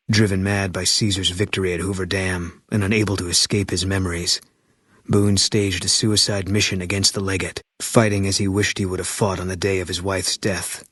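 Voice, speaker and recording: A man playing a weathered storyteller, reading scripted video game epilogue narration in a grave, measured manner. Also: sits low, fairly bright tone, breathy edge, no room sound, studio quality.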